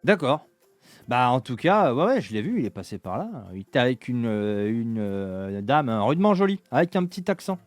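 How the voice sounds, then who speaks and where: A man's voice: mid-range voice, very animated, normal speed, no echo, soft background music, natural voice. A man voicing a chatty, nosy middle-aged barkeeper who talks bluntly and jokes around. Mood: gossipy. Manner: blunt, jokey, rambling.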